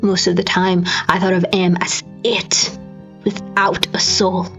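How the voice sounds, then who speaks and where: A woman giving a dramatic scripted narration, grave and theatrical, with deliberate phrasing. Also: lowish voice, little pitch movement, a bit bright. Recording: slightly dull recording with music behind. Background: music.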